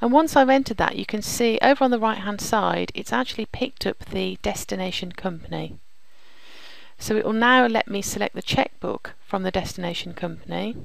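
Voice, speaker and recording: A woman presents a software walkthrough in a strongly creaky voice at a middling pitch. She explains each step in an orderly, instructional way. The recording is dry and close, like a screen-capture voice-over. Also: moderate pace, dry recording, poor audio. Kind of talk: scripted software demo narration.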